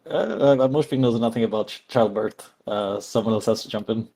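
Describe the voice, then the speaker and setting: A man's voice: on the low side, fairly quick, vocal fry, warm tone, breathy edge. A man playing a tabletop roleplaying game, improvising his character's actions as he thinks aloud, hesitantly.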